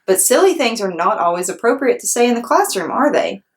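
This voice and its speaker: Lady being a kindly but stern school principal guy, measured, gently telling off, storybook reading. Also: on the high side, big pitch swings, voice pushed up. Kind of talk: children's storybook read-aloud.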